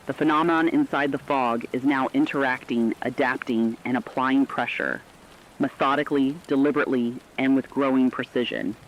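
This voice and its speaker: A man playing a serious radio news anchor, reading a scripted emergency bulletin with deliberate, emphatic phrasing. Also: mid-range voice, steady tone, lo-fi sound, a little hiss or hum, lightly acted. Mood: stern, foreboding. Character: stern, urgent radio news anchor reporting an apocalypse. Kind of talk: scripted emergency broadcast narration.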